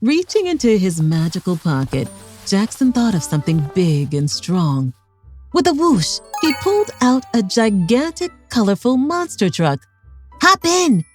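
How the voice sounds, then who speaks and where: A woman's voice: mid-range voice, big pitch swings, normal speed, a little mellow, soft background music. A woman reading a scripted children's story aloud as narrator, expressively, for young children.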